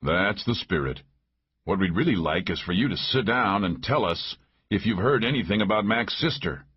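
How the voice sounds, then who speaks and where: Male reader as a composed, commanding special agent. Audiobook, low register, slightly dull recording. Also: animated, normal speed. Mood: calm, firm. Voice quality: deep, smooth delivery. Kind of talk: audiobook character voice.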